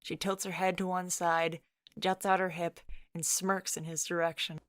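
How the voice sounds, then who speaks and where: A woman reads scripted story narration. Her pitch sits on the low side for a woman, and the recording is close and dry, with no room around it. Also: little pitch movement, normal speed, fairly bright tone, dry recording.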